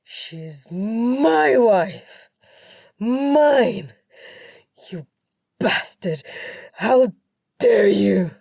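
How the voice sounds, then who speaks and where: A woman plays a wounded husband in an audio drama, furious and possessive. The words are shouted and stretched out, slow and heavy, in a mellow tone with a very dry, close sound. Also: medium pitch, expressive, gravelly, dry recording, exaggerated voice. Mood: furious, anguished. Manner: shouting, drawn-out, emphatic words. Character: enraged, possessive, wounded husband confronting his rival.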